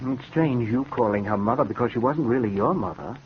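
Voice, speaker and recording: An actor playing a brooding, soft-spoken brother with a secretly sinister streak, in theatrical, measured 1950s radio drama style. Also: male voice, medium pitch, close to an everyday voice. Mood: quietly insinuating. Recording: noisy, dull vintage radio recording.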